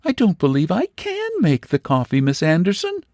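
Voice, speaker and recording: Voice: medium pitch, huge pitch swings, moderate pace, a little mellow, no echo, clean studio sound, voice pushed up. A reader voicing a petted, helpless young woman who speaks plaintively in rural dialect. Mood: innocent, aggrieved.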